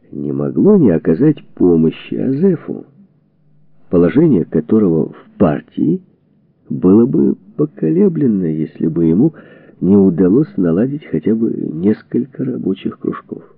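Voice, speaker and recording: A lowish-voiced man narrates a history audiobook in a thin, phone-like recording. His delivery is deliberate and measured, yet his pitch moves in lively, expressive swings. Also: vocal fry, slightly rough, no room sound. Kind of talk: scripted narration of a historical book. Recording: dull phone-like narrow-band sound, dry.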